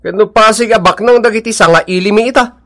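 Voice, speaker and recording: A man's voice: high voice, fast talker, fairly bright tone, dry recording, studio quality. An actor playing a boastful newly rich father in a radio drama, with a northern Philippine accent. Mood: showing off.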